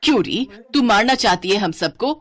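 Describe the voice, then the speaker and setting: A woman's voice: medium pitch, expressive, normal speed, close to an everyday voice. A woman voicing a stern, middle-aged mother-in-law who is protective of her children, in a story. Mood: accusing. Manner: scolding, indignant.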